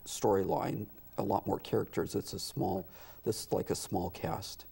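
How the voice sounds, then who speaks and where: A middle-aged or older man being interviewed on a talk show as a theater director, speaking haltingly and sometimes trailing off. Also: low-pitched, level tone, vocal fry, dark tone, a little hiss or hum. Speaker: guest, community theater director. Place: TV studio.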